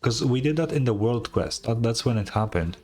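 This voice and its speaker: A woman's voice: rumbling low, lively intonation, fairly quick, a little mellow, husky, faint music behind. A woman streamer giving casual, offhand and blunt live commentary while reacting to a game.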